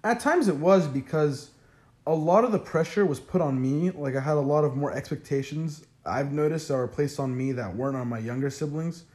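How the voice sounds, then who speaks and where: A man's voice: on the high side, brisk, a little mellow. A young man, a college freshman whose first language is Arabic, answering interview questions in a chatty way with lots of "like" fillers. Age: young adult.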